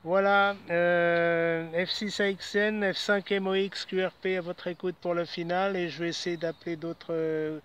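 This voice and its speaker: A man in his seventies chatting casually over amateur radio with call signs, in a halting, courteous way. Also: high voice, flat delivery, a bit bright, smooth. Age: 70s. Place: outdoors in a city park. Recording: dull lo-fi audio.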